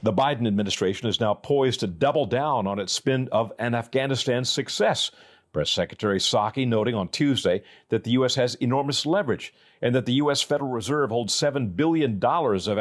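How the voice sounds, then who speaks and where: A man's voice: medium pitch, expressive, a little fast. A man with an American accent reading a scripted news report as a reporter.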